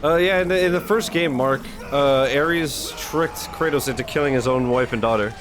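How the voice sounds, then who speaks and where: A man's voice: medium pitch, moderate pace. A man reacting to game cutscenes on a livestream with casual, joking, slangy, irreverent chat. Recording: game music bleeding behind voice. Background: game music.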